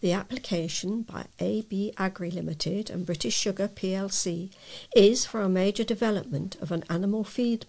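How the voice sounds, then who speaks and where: A woman reads local news as a volunteer, in a medium-pitched voice with a gravelly, creaky edge. Her delivery is informal and unpolished rather than broadcast-slick. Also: a little mellow. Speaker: volunteer newsreader. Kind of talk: reading local news aloud.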